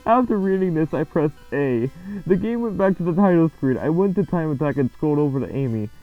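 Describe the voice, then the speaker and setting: Man reading horror over loud eerie music. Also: fairly high pitch, lively intonation, brisk. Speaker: narrator and host reading horror stories. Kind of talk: dramatic reading of a creepypasta, with casual reaction after. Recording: muffled, lo-fi, loud music behind. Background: eerie game music.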